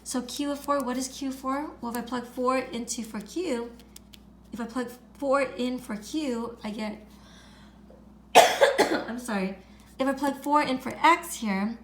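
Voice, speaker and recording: A woman with a raspy voice from being sick, patiently explaining a math lesson step by step and clearing her throat now and then. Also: high-pitched, unhurried, long pauses, slight background noise. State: raspy from illness. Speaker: math teacher. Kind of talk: screen-recorded math lesson.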